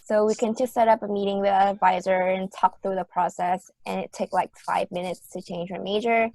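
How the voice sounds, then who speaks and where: A woman's voice: medium pitch, steady tone, fairly quick, darkish tone, no room sound. Female student, early 20s, Vietnamese accent, informal panel speaker, mid-range voice in a dry recording. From Hanoi, Vietnam.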